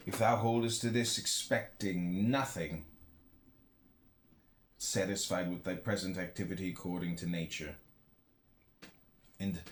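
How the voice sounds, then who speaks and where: A man reading an old philosophy book aloud in measured phrases, pausing between them. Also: fairly low pitch, slight background noise. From United States. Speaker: audiobook reader.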